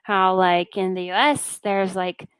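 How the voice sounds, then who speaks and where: A woman tutoring English over a video call, with a smooth, clear voice. She chats in an easygoing way, full of casual fillers. The sound is dry and close. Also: mid-range voice, steady tone, measured pace, dry recording. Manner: easygoing and chatty, many fillers like yeah and you know. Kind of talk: casual conversation practice.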